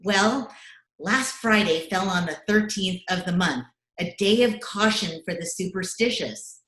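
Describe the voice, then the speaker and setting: A woman announcing in a scripted old-time radio style, measured and deliberate, recorded over a video call. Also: mid-range voice, lively intonation, a bit bright. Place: recorded remotely at home. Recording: echoey room on video call.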